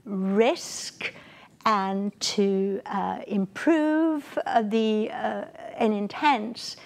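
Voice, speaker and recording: A woman hosting a community TV interview in a small studio, speaking haltingly with many restarts. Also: mid-range voice, creaky. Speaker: host.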